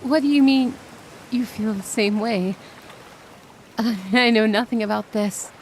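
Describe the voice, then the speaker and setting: Woman voicing a shy young mermaid, slightly high voice, slow hesitant delivery, easily flustered, kind-hearted, ASMR roleplay. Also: slight background noise. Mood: shy, stammering. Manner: flustered, hesitant, stammering protests. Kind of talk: roleplay audio drama, ASMR.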